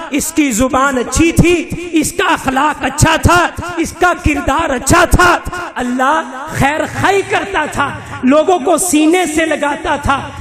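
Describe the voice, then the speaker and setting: A man with a Pakistani Punjabi accent preaching an impassioned Islamic sermon, declamatory and full of repeated rhetorical refrains. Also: extremely high pitch. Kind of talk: impassioned religious sermon to a congregation. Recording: echoey hall with long reverb, crowd.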